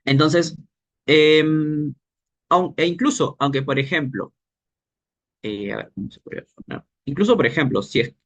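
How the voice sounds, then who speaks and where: Guy teaching a casual workshop, thinks out loud, pauses looking for words. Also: fairly high pitch. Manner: explains thinking aloud, pausing to search for words. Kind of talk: informal workshop lesson.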